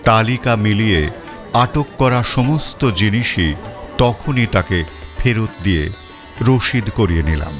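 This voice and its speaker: A man reads a scripted first-person detective story in a measured way, with deliberate pauses between phrases. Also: on the low side, expressive, music in the background. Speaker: audiobook narrator.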